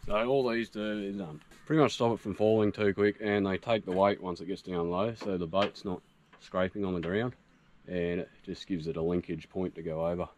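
A man in his thirties with an Australian accent, casually walking through a homemade build outdoors with relaxed, practical talk. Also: low voice, normal speed. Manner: relaxed, practical, with frequent fillers. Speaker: vlogger. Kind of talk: casual how-to walkthrough. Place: outdoors in a grassy bush camp. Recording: outdoor noise behind the voice.

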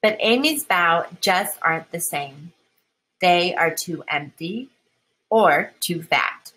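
Slow, bright-voiced woman reading a picture book. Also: fairly low pitch, husky, poor audio. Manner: expressive, deliberate, with emphasized key words. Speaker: storybook reader. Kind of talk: children's picture book read-aloud.

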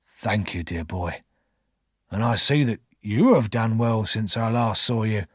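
A man playing a rough, weary ex-convict of about sixty in an audiobook, speaking slowly and quietly in a husky, fond way. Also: on the low side, no echo, studio quality. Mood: quietly proud. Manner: slow, quiet, deliberate.

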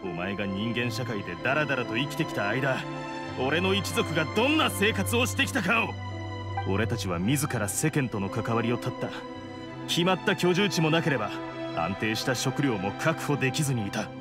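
Guy doing a scornful fallen oni warrior for a game, pitch goes up and down a lot, music behind. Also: very animated, lightly acted. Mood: bitter. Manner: scornful, weighty, deliberate. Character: embittered male blue oni outcast, fallen proud warrior. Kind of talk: dramatic game voice acting.

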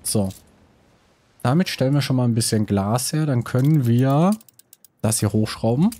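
A man's voice: mid-range voice, normal speed, warm tone. A man with a cold, casually commenting on his building game while thinking aloud with many hesitations. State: has a cold. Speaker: let's play host.

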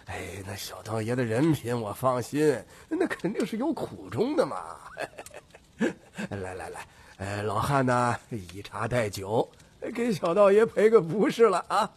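Old troupe leader, audiobook, obsequious, flustered, stammering, very animated pitch. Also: male voice, unhurried, slightly rough, exaggerated voice. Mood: placating, fawning. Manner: stammering, wheedling, full of sighing interjections.

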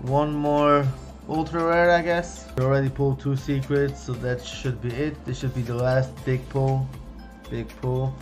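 Young man slowly narrating card pack openings. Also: faint music behind. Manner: chatty, excited on big pulls, low and let down on misses. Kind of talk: casual running commentary while opening trading card packs. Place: home room, indoors.

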